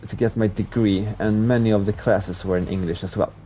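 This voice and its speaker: A man's voice: fairly low pitch, fairly quick, poor audio. Swedish man chatting while gaming. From Sweden. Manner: relaxed, rambling, with restarts while playing. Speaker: streamer. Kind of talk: casual chat while gaming live.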